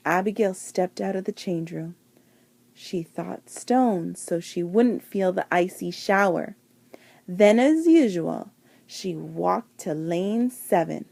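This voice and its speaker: A woman reading a children's picture book aloud with deliberate, dramatic phrasing and emphasised words. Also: mid-range voice, gravelly, a little mellow, dry recording. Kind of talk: expressive children's storybook read-aloud.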